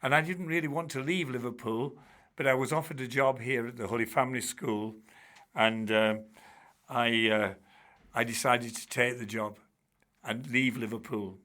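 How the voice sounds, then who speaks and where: Older man, bright tense tone, mid pitch, rambling anecdotes, loose conversational talk. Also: fairly even intonation, moderate pace. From Bradford, England. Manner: chatty, warm-humoured, many restarts and "you know"s. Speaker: guest, charity trustee and former teacher. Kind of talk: relaxed storytelling in an interview.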